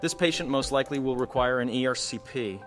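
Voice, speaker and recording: A man's voice: medium pitch, fairly quick, fairly bright tone, faint music behind. A man narrating an explanatory case walkthrough as a radiology instructor, posing questions to the viewer as he teaches. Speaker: radiology instructor presenting cases.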